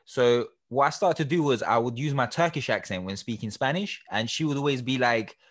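A young man in casual conversation, telling an anecdote in a lively, self-deprecating way. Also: medium pitch, fairly quick. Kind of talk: casual chat.

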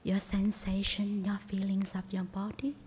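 Slow, hushed woman guiding meditation. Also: completely flat delivery. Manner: hushed, breathy, near-whispered delivery. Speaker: meditation teacher. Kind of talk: guided meditation. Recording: faint rumbly background noise.

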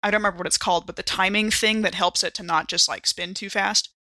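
Bright-voiced woman playing a tabletop game. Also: mid-range voice, fairly even intonation, no echo. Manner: halting, thinking aloud as she describes. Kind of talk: casual improvised in-game description.

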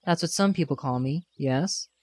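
A woman's voice: low voice, moderate pace, a little mellow, a little hiss or hum, lightly acted. A woman voicing an arrogant young male sword master who talks in a dry, curt, sarcastic way. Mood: sardonic.

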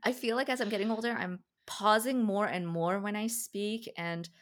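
A woman's voice: medium pitch, fairly even intonation, moderate pace. Woman host, native Farsi speaker. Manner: thoughtful, often laughing lightly. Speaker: podcast host. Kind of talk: casual conversation.

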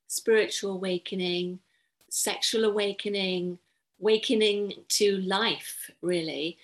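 A middle-aged woman, speaking thoughtfully and earnestly as an interview guest, with measured pauses. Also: medium pitch, fairly even intonation, poor audio. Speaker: podcast guest, therapist and coach.

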